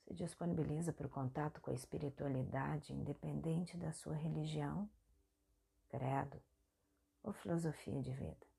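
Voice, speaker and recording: A Brazilian woman hosting a guided meditation, speaking softly and breathily in short, soothing phrases. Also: sits low, moderate pace, creaky, husky. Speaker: guided meditation podcast host.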